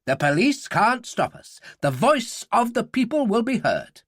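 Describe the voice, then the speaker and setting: A man's voice: very high-pitched, very animated, a little slow, fairly bright tone, no room sound, clean studio sound, pitched above the performer's own voice, exaggerated voice. A man voicing an earnest, flustered English professor with a stammering, hesitant manner. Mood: defiant.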